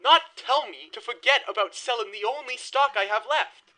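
An actor playing a bitter, hot-tempered 1920s bootlegger in an audio horror drama, sarcastic and accusing. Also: male voice, very high-pitched, normal speed, bright, forceful tone.

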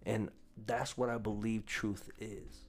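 Podcast host, young man, lowish voice, blunt and profane, faint music behind. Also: flat delivery, slow. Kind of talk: casual podcast chat.

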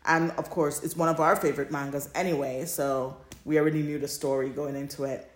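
A woman with a low-pitched voice talks casually as a podcast co-host. She is playful and emphatic, informal in her wording, and the room adds noticeable echo around her. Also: steady tone, brisk. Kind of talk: casual chat about audio dramas. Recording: roomy, echoey sound.

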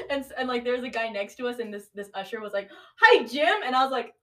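Young female co-host, high and very animated voice, giggly slangy banter, a little off-mic. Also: brisk, far from the mic. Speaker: podcast co-host. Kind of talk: casual comic chat between friends.

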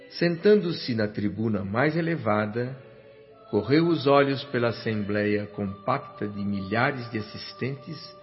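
An actor playing a man's spirit who narrates his memories of the afterlife in a solemn, reverent, literary radio drama style. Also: fairly low pitch. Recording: dull lo-fi radio sound with music. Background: music.

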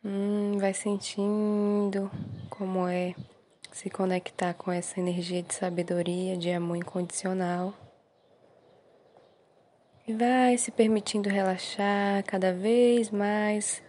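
A Brazilian woman gently guiding a meditation in a soothing, unhurried way, with short encouraging phrases. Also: medium pitch, flat delivery. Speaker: meditation guide. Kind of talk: guided meditation.

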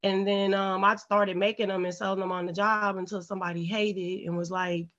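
A woman from St. Louis chats on a podcast in a raspy, congested voice. She tells her stories bluntly and animatedly, with plenty of swearing. The audio sounds a little dull and muffled. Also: medium pitch, a little fast. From St. Louis, USA. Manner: blunt, profane, animated storytelling. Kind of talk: casual, candid podcast chat.

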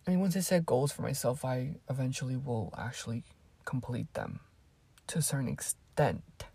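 A woman's voice: a bass voice, animated, normal speed, vocal fry, dark tone, husky. An eighteen-year-old woman whose first language is Spanish, chatting casually and rambling to her viewers as a vlogger. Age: teens. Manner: rambling, chatty, drifts off topic. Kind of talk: casual chat to camera.

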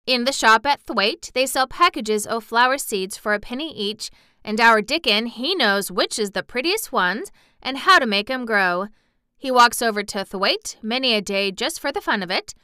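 A woman's voice: fairly high pitch, animated, normal speed, sharp tone, clear, resonant tone, dry recording, studio quality, voice pushed up. A woman doing a chatty housemaid. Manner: broad dialect, eager and chatty. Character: cheerful young Yorkshire housemaid, homely and talkative.

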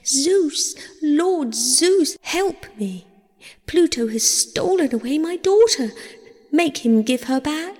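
A grieving, defiant mother goddess, played by a woman storyteller, imploring with passion. Her raised, high voice carries in long reverb, as in a storybook myth. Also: lively intonation, slow, a little mellow. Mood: distraught pleading. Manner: calling out, imploring.

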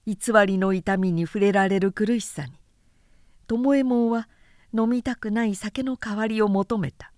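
Female literary narrator: measured storytelling, mid-pitched, unhurried delivery. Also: a little mellow, dry recording, clean studio sound. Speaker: narrator reading a story aloud.

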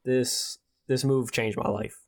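Slangy young man, mid-pitched voice. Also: steady tone, slow. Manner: slangy, earnest, with frequent "like" and "bro". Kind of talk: casual chat.

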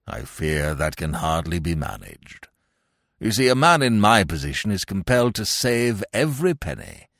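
A man voicing a pompous, sentimental old English gentleman in an audiobook, speaking in a solemn, grandiloquent manner. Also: low voice, very animated, moderate pace, creaky, dry recording, clean studio sound, pitched below the performer's own voice, exaggerated voice. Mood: solemn, regretful. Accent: British.

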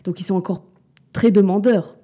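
A woman's voice: mid-range voice, fairly even intonation, normal speed, warm tone, breathy edge. A woman in her forties, a mother and business owner, answering interview questions candidly, hesitant and reflective, with frequent fillers and restarts. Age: 40s.

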